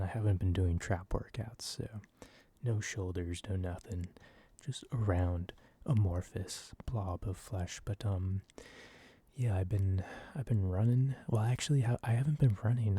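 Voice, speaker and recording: A man softly rambling in a casual ASMR style, hesitant and informal, with a breathy voice. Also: low voice, dry recording. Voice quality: soft, breathy. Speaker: video creator. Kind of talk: soft-spoken ASMR ramble.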